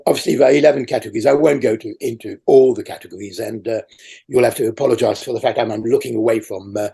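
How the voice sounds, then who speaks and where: Older guy on a video call, gravelly dark voice, lots of uhs and ums, first language french. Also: mid-range voice. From raised in France. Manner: rambling, hesitant with many fillers. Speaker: awards chair interviewee. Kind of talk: conversational interview.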